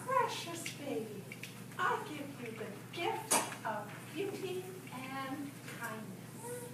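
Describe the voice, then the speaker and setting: A woman's voice: high-pitched, sing-song, slow pace, heavily acted. A woman voicing a kindly fairy in a puppet show, making solemn pronouncements. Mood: gentle, solemn. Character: kindly magical fairy granting gifts. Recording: very echoey room, far off, loud noise.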